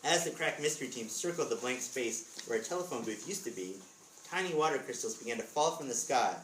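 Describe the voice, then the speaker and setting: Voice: medium pitch, lively intonation, brisk. Echoey, distant narrator reading a story aloud. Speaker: author reading own book as narrator. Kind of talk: readers theatre narration with character dialogue. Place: live author visit, indoor room. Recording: roomy, distant mic with clear noise.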